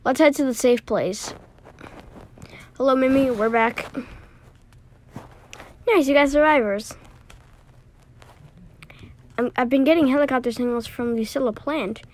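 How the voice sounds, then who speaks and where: Amateur animation voice: woman, fairly high, unhurried, drawn-out dramatic delivery. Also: a little hiss or hum. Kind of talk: amateur voice acting for animated story.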